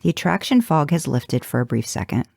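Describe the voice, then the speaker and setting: A woman reading a first-person story aloud in an expressive, wry and confiding way. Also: low voice, brisk, creaky, no echo, clean studio sound. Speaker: podcast host reading fan fiction.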